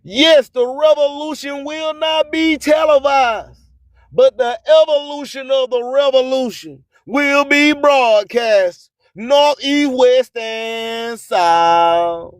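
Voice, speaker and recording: A man's voice: extremely high pitch, slow, no echo. A man hosting radio with an emphatic, preacher-like motivational monologue full of repeated phrases. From US Gulf Coast. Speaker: radio host.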